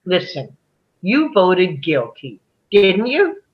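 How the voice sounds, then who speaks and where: Woman playing a low, muffled, combative juror. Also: sing-song, slow. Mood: annoyed. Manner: blunt, confrontational. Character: gruff, combative, bullying male juror. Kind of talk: dramatic script table read.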